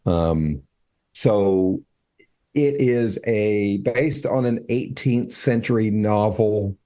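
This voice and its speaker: An older man co-hosting a casual talk show, speaking haltingly with many fillers and restarts. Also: lowish voice, warm tone. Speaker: podcast co-host. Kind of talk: casual chat.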